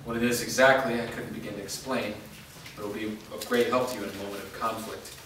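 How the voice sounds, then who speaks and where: A man acts a grave, undying leader in a staged drama reading, controlled and menacing. The low, brisk voice sounds far from the mic in a heavily echoing room. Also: fairly even intonation, natural voice. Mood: grave, earnest. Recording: distant, very echoey, noisy room recording.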